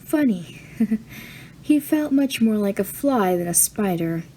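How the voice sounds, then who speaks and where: Woman audiobook reader, mellow and gentle, even storytelling. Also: medium pitch, slow, a little hiss or hum, rough recording. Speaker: audiobook narrator. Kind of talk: reading fan fiction aloud.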